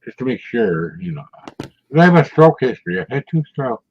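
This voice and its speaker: A man's voice: medium pitch, very animated, a little fast, slight background noise. A man in his sixties, chatting casually and telling rambling stories with frequent chuckles. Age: 60s. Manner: rambling storyteller, chuckles often. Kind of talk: casual chat. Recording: muffled lo-fi audio.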